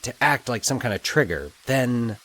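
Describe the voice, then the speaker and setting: A man voicing an anxious, excitable male character thinking aloud in halting, agitated bursts in an audio drama. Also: medium pitch, pitched above the performer's own voice. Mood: excited, grappling. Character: Mike, anxious excitable man puzzling out eerie coincidences. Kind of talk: dramatic audio fiction monologue.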